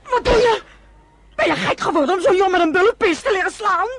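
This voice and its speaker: A farmer's wife and mother who is fretful and protective. Her voice sits high. It is performed in the manner of an old radio drama. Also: animated, brisk, slight background noise. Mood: protesting. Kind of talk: Dutch radio drama acting.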